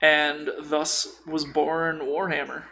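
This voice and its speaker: A man with a slightly high, creaky voice co-hosts a casual podcast. He talks in slangy, jokey, sometimes sweary banter. There is clear noise behind him. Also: level tone, slow, bright, forceful tone. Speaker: podcast co-host. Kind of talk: casual chat. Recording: noisy room recording. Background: clear background noise.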